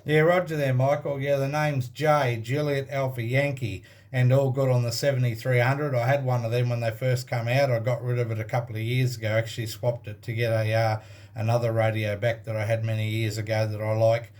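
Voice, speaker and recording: A man's voice: mid-range voice, level tone, brisk, a little mellow. An Australian man talking casually and matter-of-factly as an amateur radio operator making contact with another station. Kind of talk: casual radio contact chat with call signs.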